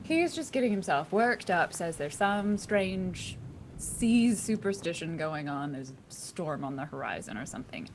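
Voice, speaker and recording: A woman playing a brisk, dismissive female ship's crew member in a tabletop game, firmly brushing off worries. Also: low-pitched, slight background noise. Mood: exasperated. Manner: firm, reassuring, brushing off worries.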